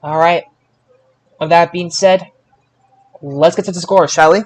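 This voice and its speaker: A man hosts a casual sports podcast in a fairly high-pitched voice. He talks slowly and haltingly, stretching out results as he announces them. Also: fairly even intonation, darkish tone. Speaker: podcast host.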